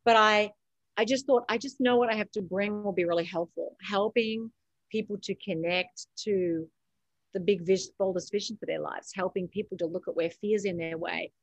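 Female podcast guest, Australian accent, mid pitch, emphatic motivational delivery that repeats key phrases, dry sound. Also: steady tone, normal speed, no echo, rough recording. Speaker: podcast guest, author and coach. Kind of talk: motivational interview conversation.

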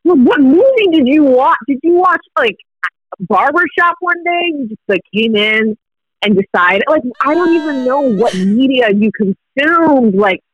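A man's voice: extremely high pitch, lively intonation, measured pace, no room sound, narrow, tinny audio. A Kenyan-born man whose first language is Swahili, telling a story in casual chat with laughter.

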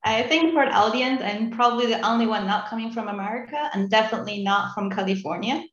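A woman in her thirties from China, a podcast guest, talking about herself in a relaxed, easygoing way. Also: fairly high pitch, fast talker, roomy sound, muffled recording. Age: 30s. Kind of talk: casual interview chat.

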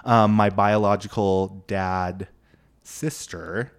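Podcast guest: man, very low voice, easygoing and chatty, laughs often. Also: slow pace, clean tone. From United States (grew up in Idaho). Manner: relaxed, laughs often, many "like" fillers. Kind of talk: casual chat.

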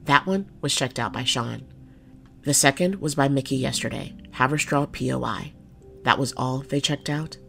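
A jaded, steady policewoman acted by a woman with heavy vocal fry. She speaks plainly and reassuringly, in audio drama style, over light music. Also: a bass voice, moderate pace, full character voice. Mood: patient, businesslike. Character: jaded, kind-hearted, steady female city cop.

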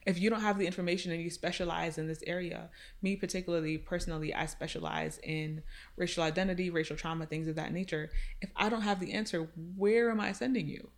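A woman with a slightly low voice speaks earnestly in a relaxed podcast conversation. She reflects on her work as a counselor and often pauses to check in with a quick "right?". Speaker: counselor specializing in racial identity. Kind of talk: casual podcast discussion.

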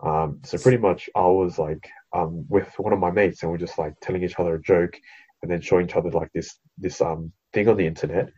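A man's voice: low-pitched, steady tone, brisk, dark tone, husky, lo-fi sound. A young Australian man with an Australian accent, co-hosting a podcast in a laid-back way, with lots of ums and casual swearing. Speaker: podcast co-host. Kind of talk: casual chat.

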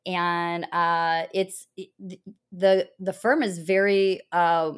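Low-voiced lady hosting an interview, rambly careful questions. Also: steady tone, slow, clean tone. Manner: rambling, searching questions with restarts. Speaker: podcast host.

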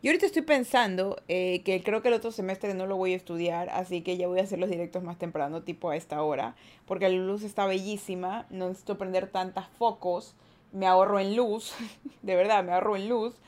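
A woman's voice: medium pitch, brisk, a little mellow. A young woman from Guayaquil with an Ecuadorian accent, hosting a casual livestream podcast, chatty and upbeat as she reads the chat. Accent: Ecuadorian Spanish, Guayaquil. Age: young adult. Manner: chatty, upbeat, playful asides. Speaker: streamer and podcast host. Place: indoors at home, daylight room.

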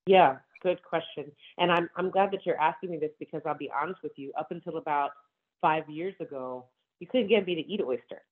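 A woman's voice: deep voice, fairly quick. A woman talks casually and with enthusiasm, laughing now and then. Her voice comes through thin and tinny, like it's heard over a phone. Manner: enthusiastic, frequent laughs and asides. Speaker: wine bar owner. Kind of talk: casual conversation.

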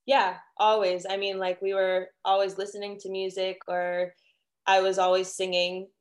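A woman's voice: mid-range voice, completely flat delivery, normal speed. A young woman from Miami, a singer-songwriter, chatting casually as a guest with lots of "um" and "you know". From Miami, Florida. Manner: chatty, many fillers and restarts. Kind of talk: casual interview answers.

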